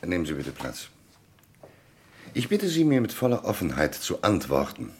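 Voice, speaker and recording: A man's voice: low-pitched, wildly swooping pitch, normal speed, husky, full character voice. An actor playing a shrewd, insistent police commissioner in a radio crime drama, methodical and declamatory as he interrogates. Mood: formal, commanding.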